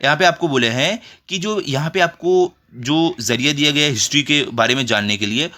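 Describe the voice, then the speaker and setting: Bright-voiced Indian man tutoring children. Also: fairly high pitch, fairly quick. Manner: repeats check phrases and rhetorical questions, instructive. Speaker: school tutor. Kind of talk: explanatory lesson for children, reading textbook and translating.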